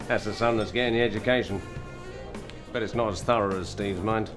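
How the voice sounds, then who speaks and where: An actor playing a sly ex-con landlord. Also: male voice, lowish voice, steady tone, a little fast, over music, natural voice. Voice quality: sly, needling, insinuating. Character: menacing ex-convict male pub landlord. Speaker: pub owner. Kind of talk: TV drama acting.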